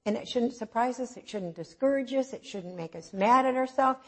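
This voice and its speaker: A woman with a low voice preaching an informal, earnest sermon, swinging from loud to soft. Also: normal speed, breathy edge.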